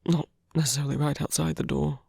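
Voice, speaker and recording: A man playing a protective, gently teasing villain in an intimate ASMR roleplay, speaking in a soft, hesitant, breathy half-whisper. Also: a little high, flat delivery, fast talker, no room sound, studio quality, full character voice. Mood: hushed, resolute. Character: male villain, protective, gently teasing, rescuing a wounded rival.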